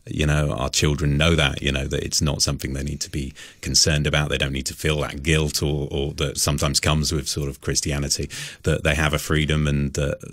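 A man's voice: extremely low pitch, dry recording, studio quality. A middle-aged man being interviewed as a guest, chatting casually and rephrasing his thoughts as he goes. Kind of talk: casual radio interview chat.

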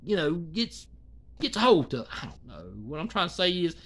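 A man's voice: a little high, big pitch swings, normal speed, fairly bright tone. A man in his thirties rambling in casual, colloquial commentary, full of restarts and asides, filmed close up in a small dim room. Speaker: commentary vlogger. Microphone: phone or webcam close to face.

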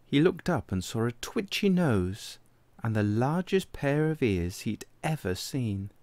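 A man reading a children's picture book aloud as an expressive, deliberate narrator. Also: medium pitch, dry recording. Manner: animated, deliberate. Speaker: storybook reader.

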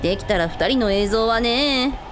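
Woman playing a brash, high-pitched priestess sister. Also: animated, moderate pace, music in the background, close to an everyday voice. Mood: concerned. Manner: rough, bossy wording. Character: brash, excitable shrine priestess big sister. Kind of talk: amateur radio drama acting.

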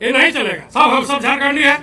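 A man's voice: extremely high pitch, a little fast, sharp tone. A middle-aged man making an emphatic, defiant political statement to the media. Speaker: political speaker.

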